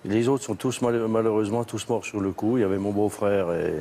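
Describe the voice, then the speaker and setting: A man from Valais with a Swiss French accent, telling his story haltingly as a guest on a TV talk show. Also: fairly low pitch, dark tone, slight background noise. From Switzerland, Valais. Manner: halting, restarts with many fillers. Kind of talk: studio TV talk show interview. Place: TV studio set.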